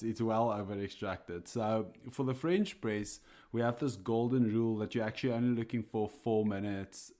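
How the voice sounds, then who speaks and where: A man's voice: medium pitch, darkish tone. A man whose first language is Afrikaans, co-hosting a podcast in casual, hesitant chat full of fillers and chuckles. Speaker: coffee roaster podcast co-host.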